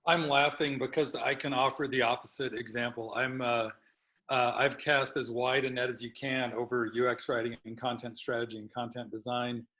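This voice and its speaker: A man's voice: mid-range voice, level tone, normal speed, sharp tone. Man panelist, hesitant and self-deprecating, casual delivery. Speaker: panelist, content strategist.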